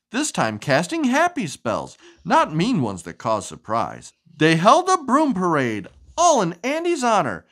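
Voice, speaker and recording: A woman reading a storybook aloud to a young child in an expressive, sing-song way. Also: fairly low pitch. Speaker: storybook reader.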